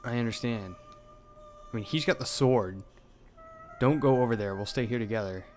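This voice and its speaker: A man's voice: medium pitch, moderate pace, darkish tone, soft background music. A young man hosting a let's play, reading out a horror game's dialogue with some drama and swearing in asides. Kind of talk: reading game text aloud with commentary.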